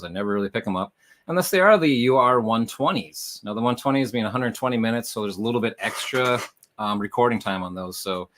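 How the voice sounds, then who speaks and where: A man with a fairly low voice hosts a livestream for resellers. He explains things casually and conversationally, addressing the viewers directly as he goes. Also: a bit bright.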